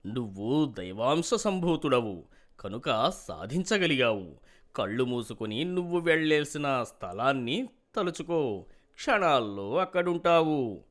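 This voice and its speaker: A man voicing a benevolent celestial spirit in a storybook tale, solemn, reassuring and measured. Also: medium pitch, big pitch swings, a bit bright. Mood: solemn kindness. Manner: measured, stately. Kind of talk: dramatic storybook character acting.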